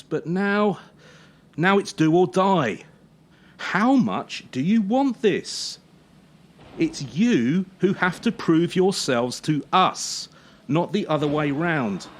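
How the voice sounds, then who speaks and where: An actor playing a harsh former champion turned gymnastics coach in a radio play, scolding with sarcasm and pointed pauses. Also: medium pitch, a little hiss or hum. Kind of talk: radio drama acting.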